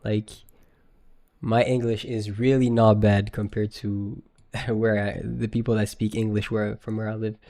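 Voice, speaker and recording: A young man with a Quebec French accent, his first language French, talking loosely and full of slang as he answers viewers. His voice sits a little low and sounds mellow and soft-edged. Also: little pitch movement, measured pace. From Quebec, Canada. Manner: loose, slangy, laughs easily. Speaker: day trader live streamer. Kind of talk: casual live stream chat, answering viewers.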